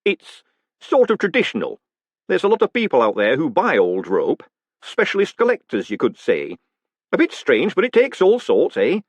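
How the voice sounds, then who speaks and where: A man's voice: a little high, huge pitch swings, normal speed, breathy edge, dry recording, voice pushed up, exaggerated voice. A man voicing a friendly, obliging hangman who chats politely and calls everyone sir. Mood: cheery. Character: cheerful obliging male hangman.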